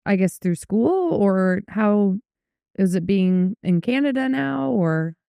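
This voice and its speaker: A woman's voice: medium pitch, lively intonation, slow, vocal fry, mellow, smooth, dry recording. A woman co-hosting a podcast in casual conversation. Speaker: podcast co-host. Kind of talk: casual chat.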